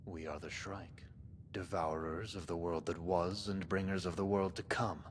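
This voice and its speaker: An actor playing a cold, menacing post-apocalyptic cult overlord, speaking in a pompous, archaic, deliberate manner as scripted game dialogue. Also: male voice, sits low, level tone, long reverb tail, slight background noise, close to an everyday voice. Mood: cold, threatening.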